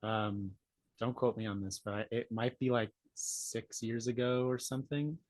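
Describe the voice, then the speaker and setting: A man's voice: mid-range voice, steady tone, moderate pace, darkish tone, no echo. Young man explaining, dry close sound. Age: 20s. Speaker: interview guest explaining DNA testing. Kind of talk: casual explanatory interview talk. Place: indoor room, video call.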